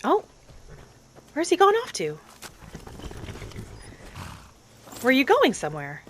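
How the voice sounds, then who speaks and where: A woman voicing a gentle young wood elf alchemist in a fantasy game roleplay, soft-spoken and musing to herself without hurry. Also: fairly high pitch, a little hiss or hum. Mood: thoughtful, then bright, eager. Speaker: player of a solo fantasy roleplay video series. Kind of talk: in-character game narration.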